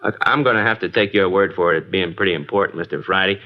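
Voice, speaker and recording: A man's voice: low voice, quick pace, lightly acted. A man acting a guarded, reluctant working-class garage owner in an old-time radio drama, colloquial and grudging. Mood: grudgingly candid. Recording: dull vintage radio broadcast.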